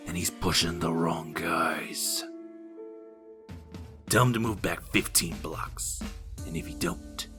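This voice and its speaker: A man's voice: sits low, gravelly, exaggerated voice. A man acting in a comic dub as a menacing, swaggering street gang thug with a taunting, threatening delivery.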